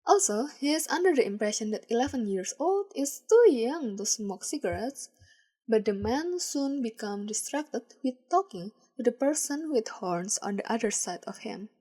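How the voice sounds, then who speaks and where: A woman reading fan fiction aloud as a podfic, with calm, measured storytelling. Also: fairly high pitch, breathy edge. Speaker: podfic reader.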